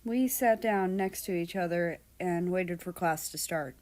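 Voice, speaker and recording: Slow-paced woman reading a story. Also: fairly low pitch, a little mellow. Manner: unhurried, acts out dialogue. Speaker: amateur fan fiction reader. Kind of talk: expressive storybook narration.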